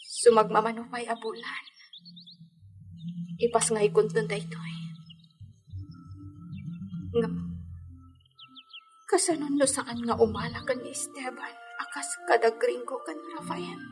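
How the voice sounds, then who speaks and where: A woman acting an anxious, emotional expectant young wife in a Filipino radio drama. Her voice is high, with a Filipino accent, and soft music plays behind it. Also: steady tone, a little slow, pauses often. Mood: brooding, worried. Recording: background music under voice.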